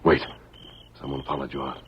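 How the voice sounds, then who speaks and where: A man playing a masked western hero in a radio drama, steady, commanding and upright, with crisp authoritative delivery. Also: a bass voice, normal speed. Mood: steady, resolute. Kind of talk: 1940s radio western acting. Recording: muffled old radio with background hiss.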